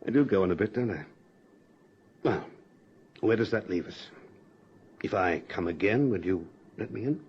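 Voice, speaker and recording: An actor playing a direct, talkative, self-assured suitor in a radio drama, teasing and persistent. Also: male voice, on the low side, expressive, normal speed, pauses often, breathy edge, full character voice. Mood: conciliatory. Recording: dull lo-fi old radio recording.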